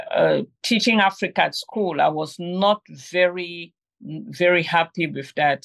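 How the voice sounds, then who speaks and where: Lady, middle aged, kinda fry in the voice, talks in stops and starts. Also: low voice. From Cameroon. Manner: hesitant, many fillers and restarts. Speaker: guest, game inventor. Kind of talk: conversational interview.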